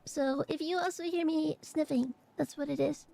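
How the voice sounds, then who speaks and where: A young woman streamer chatting casually and rambling with fillers, her voice a little hoarse and raspy from allergies. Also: high-pitched, fairly even intonation, moderate pace, warm tone. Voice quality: raspy, phlegmy throat. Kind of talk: casual chat to stream viewers.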